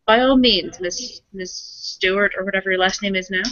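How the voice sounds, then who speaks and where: A woman with a medium-pitched voice improvises as a tabletop roleplayer. She talks informally and corrects herself as she goes. The audio is dry and a little muffled. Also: normal speed, fairly bright tone, no room sound. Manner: informal, loose, self-correcting chatter. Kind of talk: casual improvised roleplay and narration.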